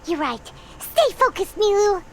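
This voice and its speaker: A woman voicing a small, excitable fairy-like girl companion in a video game, squeaky and cheering in short exclamations. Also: normal speed, vocal fry, a little hiss or hum, heavily acted. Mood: eager encouragement.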